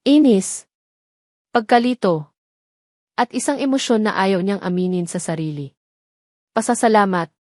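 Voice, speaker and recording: A woman's voice: mid-range voice, no room sound, clean studio sound. A woman with a Filipino accent reading a scripted dramatic story as its storyteller.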